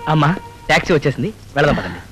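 A practical, dutiful young family man who talks briskly. His voice is lively and quick, with music behind him. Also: on the high side, rough recording.

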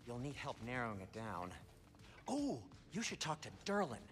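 A man's voice: mid-range voice, huge pitch swings, moderate pace, a bit bright, soft background music. A man playing a fussy, eager, fretful dwarf craftsman in a video game, chatty and prissy. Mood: helpful.

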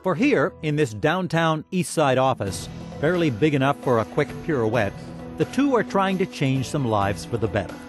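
Animated male feature reporter. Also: fairly high pitch, moderate pace, over music. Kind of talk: scripted TV feature narration.

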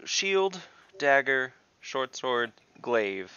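A man's voice: mid-range voice, big pitch swings, very slow, pushed and bright, clean tone, no echo. A man chatting casually as a player in an online tabletop game. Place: video call from home. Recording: dull, lo-fi call audio.

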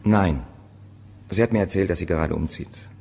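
A suspicious, gruff photographer in a radio drama. His voice is deep and curt, evasive in manner. It rings in a large reverberant room with some background noise. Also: moderate pace, darkish tone. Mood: dismissive. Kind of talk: German radio crime drama acting.

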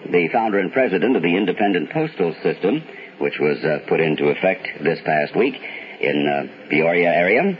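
A man playing a 1970s radio newscaster, reading bulletins in a measured, formal way with some hesitation over his copy. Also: sits low. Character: steady male radio newsman reading bulletins. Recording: dull, noisy vintage 1970s radio broadcast.

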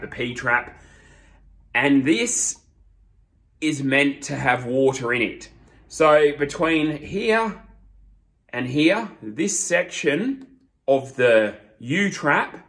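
A man's voice: mid-range voice, a bit bright. A man, a master plumber explaining step by step to camera from a small storeroom. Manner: slow, deliberate, explains step by step while holding up pipe fittings. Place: small storeroom with shelves, indoors. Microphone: camera in front at close range.

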